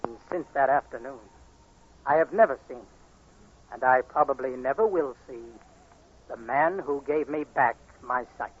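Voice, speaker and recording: Casting: male actor as anguished young patient, intense radio-play delivery, medium pitch, muffled vintage sound. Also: normal speed, lightly acted. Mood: calm, reflective. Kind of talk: 1940s radio acting, first-person narration and dialogue. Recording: noisy, muffled 1940s radio recording.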